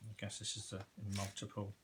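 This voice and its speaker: A man's voice: on the low side, monotone, fairly quick. A man chatting casually while reviewing and unboxing a product, with informal, chatty asides. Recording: very noisy recording, rustling and clicks.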